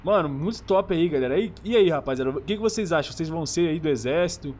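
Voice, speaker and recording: Brazilian man commenting gameplay, slightly high voice. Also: lively intonation, quick pace, a little mellow. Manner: enthusiastic, slangy. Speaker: streamer, host of the channel. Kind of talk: casual gameplay commentary in slang. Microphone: gaming headset.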